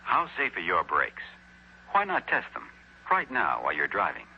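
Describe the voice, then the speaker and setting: A man's voice: deep voice, huge pitch swings, moderate pace, husky, lo-fi sound. A man with an American accent reading a punchy 1960s radio commercial on a vintage recording. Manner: punchy sales delivery.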